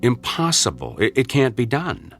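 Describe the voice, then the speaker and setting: A skeptical, sarcastic doctor in a radio drama. His pitch swings widely as he talks, over a light music bed. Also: huge pitch swings, moderate pace, fairly bright tone. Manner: sardonic. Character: skeptical, dryly sarcastic senior male clinic doctor.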